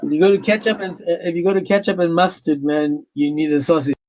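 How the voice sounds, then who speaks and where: A man's voice: on the high side, steady tone, fast, darkish tone. A man hosting a livestream debate, goading his guest with pointed questions. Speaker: debate stream host.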